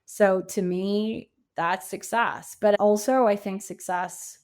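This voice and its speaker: A woman's voice: medium pitch, fairly even intonation, slow pace, a little mellow, smooth. A middle-aged woman answering interview questions conversationally, in a reflective, earnest way with emphasis on key words. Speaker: podcast guest, coach and author.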